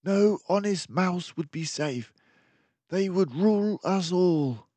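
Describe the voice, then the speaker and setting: A man voicing a brilliant, excitable, commanding mouse detective in a children's storybook, speaking in an emphatic, theatrical way. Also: sits high, takes it slowly, no echo, voice pushed up, heavily acted. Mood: indignant, urgent. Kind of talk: children's storybook read-aloud.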